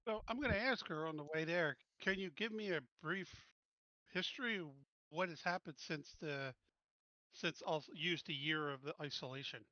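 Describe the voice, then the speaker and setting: A man's voice: on the high side, moderate pace, bright, forceful tone, dry recording, highs cut off. A man playing a tabletop roleplaying game, casually putting questions to the game master.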